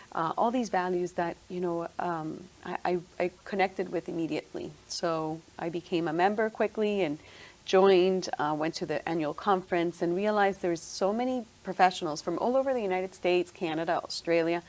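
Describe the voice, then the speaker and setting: A woman's voice: fairly low pitch, a little mellow. Chatty woman from Venezuela. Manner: chatty, with frequent fillers and restarts. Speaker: guest, senior move manager. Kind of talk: interview answers.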